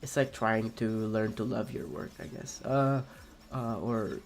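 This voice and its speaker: A young man with a raspy voice, streaming a game and rambling casually with many fillers and restarts. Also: lowish voice, steady tone, unhurried, faint music behind. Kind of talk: casual chat while playing a game.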